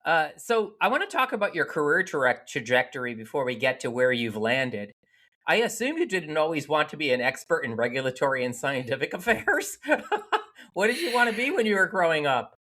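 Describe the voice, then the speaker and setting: A man from Connecticut hosting a podcast, opening the show and chatting with a guest over a remote call, animated and quick to laugh. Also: a little high. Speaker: podcast host. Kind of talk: casual interview chat.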